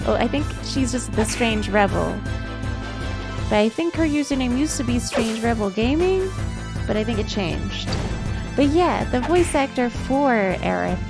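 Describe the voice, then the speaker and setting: Female game streamer, fairly high voice, unhurried delivery, casual and animated chat with viewers. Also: gravelly. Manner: animated, giggly, chatting with viewers. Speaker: livestreamer playing a video game. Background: video game music.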